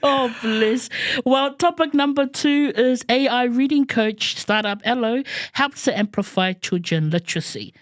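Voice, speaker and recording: A woman's voice: fairly high pitch, measured pace, few pauses, no room sound, studio quality. Laughing chatty New woman podcaster. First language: Samoan. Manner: chatty, laughing, colloquial asides. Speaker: podcast co-host. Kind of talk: casual podcast chat.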